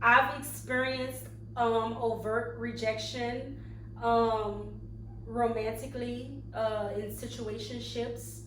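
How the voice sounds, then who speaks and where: Thirty-year-old woman, slow and thoughtful. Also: on the high side, little pitch movement, a bit bright. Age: 30s. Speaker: podcast co-host. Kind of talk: casual chat. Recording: echoey room, mic at a distance, faint hum.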